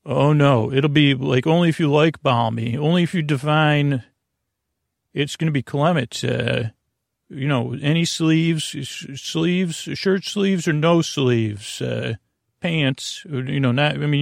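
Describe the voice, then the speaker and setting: A man with a creaky voice hosting a sleep podcast, meandering and halting through silly, goofy tangents in a lulling way. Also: a little high, no echo, studio quality. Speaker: podcast host. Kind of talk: rambling bedtime sleep-podcast monologue.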